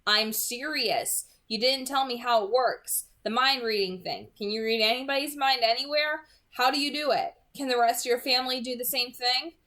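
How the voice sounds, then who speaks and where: Woman doing nervous curious teen boy in a storybook read, questioning, flustered easily, kinda raised pitch. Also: normal speed, few pauses, sharp tone. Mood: curious, insistent. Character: anxious, inquisitive teenage human boy.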